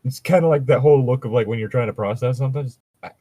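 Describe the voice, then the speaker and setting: A man co-hosting a casual comedic fan fiction reading, joking crudely and swearing in an animated way. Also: mid-range voice, rapid-fire, dry recording.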